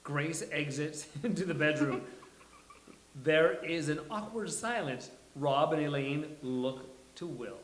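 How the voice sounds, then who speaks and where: A man's voice: on the high side, lively intonation, slow. A man reading stage directions as the host of a live table read. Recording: roomy live recording.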